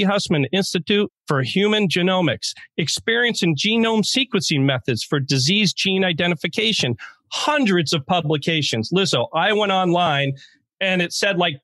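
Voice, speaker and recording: A man's voice: sits high, lively intonation, moderate pace, a bit bright, no echo. A middle-aged man hosting a podcast, upbeat and chatty, firing off questions at his guest. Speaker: podcast host. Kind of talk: casual interview hosting.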